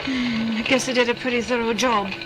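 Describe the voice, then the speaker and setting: A woman playing a cheeky, impulsive young space traveller in a science-fiction audio drama, flippant and excitable in manner. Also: on the high side, a little fast, close to an everyday voice, low-quality recording. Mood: spooked. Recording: music bed under the voice.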